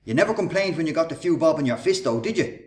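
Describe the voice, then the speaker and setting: Male actor as bullying Irish ringleader, husky and swooping in pitch, sarcastic, menacing, radio drama. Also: lively intonation, fast talker, darkish tone. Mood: impatient, bossy. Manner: taunting, sarcastic, bossy. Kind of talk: radio play acting.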